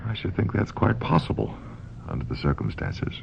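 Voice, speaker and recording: A calm, probing male psychiatrist in a radio mystery. His voice is extremely low and gravelly, with wry, lively inflection. The recording is old and dull. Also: moderate pace, a little hiss or hum, exaggerated voice.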